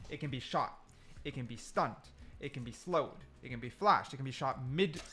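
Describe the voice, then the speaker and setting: A man's voice: medium pitch, animated, normal speed, bright, forceful tone. A man giving casual gameplay commentary, explaining things and repeating points for emphasis. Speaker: gaming video creator.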